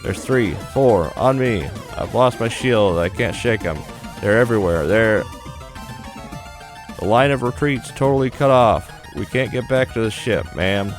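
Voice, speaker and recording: Casting: terrified squadron pilot, read by a man, frantic and stuttering, higher pitched, for a space visual novel. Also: a little slow, soft background music, lightly acted. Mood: desperate. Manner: stammering, frantic. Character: panicking young fighter pilot on radio.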